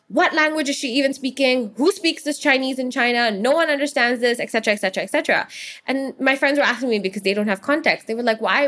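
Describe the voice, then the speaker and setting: A woman's voice: fairly high pitch, fairly bright tone. Twenties woman from hong kong, earnest guest, rambles through stories with lots of asides. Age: 20s. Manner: earnest, quick storytelling with asides. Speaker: guest entrepreneur. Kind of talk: casual interview talk.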